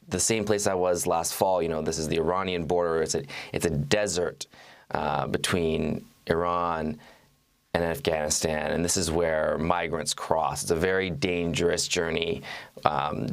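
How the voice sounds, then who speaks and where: Low-voiced man, measured interview guest. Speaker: guest, journalist and author. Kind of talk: interview, recounting his reporting. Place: TV studio. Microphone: lapel mic.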